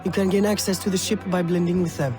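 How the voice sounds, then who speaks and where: A man's voice: high-pitched, fairly even intonation, fast talker, lightly acted. An actor playing an earnest, devoted young male assistant in a video game, anxious and slightly awkward in his manner. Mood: resigned, helpful. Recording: music under the voice.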